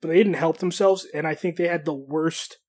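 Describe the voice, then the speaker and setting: A man's voice: on the high side, steady tone, fairly quick, a little mellow. A young man co-hosting a casual football podcast, ranting bluntly and swearing freely as he retells a game. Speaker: podcast co-host. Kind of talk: casual sports podcast chat.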